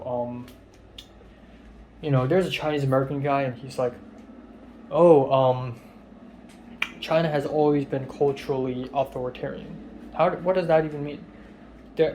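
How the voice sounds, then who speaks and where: A man's voice: a little high, warm tone, a little hiss or hum. A young man from Hong Kong talking casually in a spoken video diary, frank and rambling, with frequent fillers and swearing. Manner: rambling, frank, swears freely, many ums. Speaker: vlogger.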